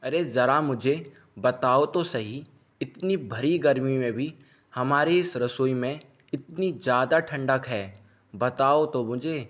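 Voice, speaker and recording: A man's voice: mid-range voice, slow, fairly bright tone. A man playing a poor, naive, admiring husband in a cartoon moral story, with a gushing, wondering manner. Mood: amazed, admiring.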